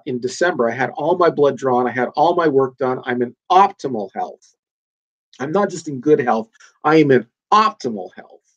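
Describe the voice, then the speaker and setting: A man's voice: medium pitch, muffled recording. A man hosting his own podcast, talking off the cuff in an emphatic, rambling way with plenty of fillers and restarts, a little nasal and congested from a cold. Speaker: podcast host. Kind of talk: casual solo podcast monologue.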